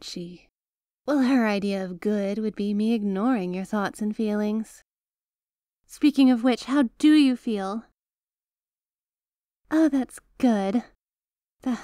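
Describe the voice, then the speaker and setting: A woman's voice: on the high side, darkish tone, no room sound, studio quality. A woman playing a doting, bashful yet possessive young mafia heiress in an intimate ASMR roleplay, speaking to the listener in a hesitant, coaxing way. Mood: nervous, apologetic, then encouraging, pleased. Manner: hesitant, coaxing, affectionate, halting phrasing.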